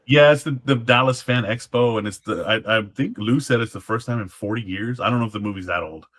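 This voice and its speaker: A man's voice: fairly low pitch, fairly quick, a bit bright. A man speaking as a guest, telling stories in a casual, conversational way. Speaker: guest, former Alamo guard. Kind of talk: casual storytelling chat.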